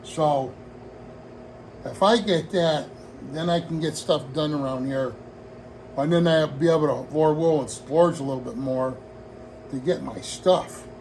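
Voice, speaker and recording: A man in his early sixties, his voice pitched a little high, talks to viewers in a loose, wandering way, circling back and restarting his thoughts as he goes. He is in a room at home, and a steady air conditioner hum sits clearly under his voice. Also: a little slow, poor audio. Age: 60s. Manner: meandering, heartfelt, with frequent restarts and trailing asides. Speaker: hobby vlogger. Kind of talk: rambling casual talk to camera.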